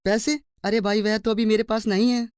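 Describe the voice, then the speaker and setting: A woman's voice: a little high, flat delivery, a little fast, darkish tone, clear, resonant tone, dry recording, studio quality, natural voice. A woman voicing a greedy, suspicious, newly rich man in a cartoon story, with a dismissive, grumbling manner. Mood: defensive, dismissive. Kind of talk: children's cartoon story dialogue.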